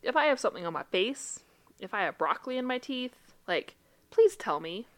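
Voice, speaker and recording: Woman podcaster, blunt, swears a lot, laughs a bunch, regular pitch. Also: very animated, brisk. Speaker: podcast co-host and therapist. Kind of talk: casual chat.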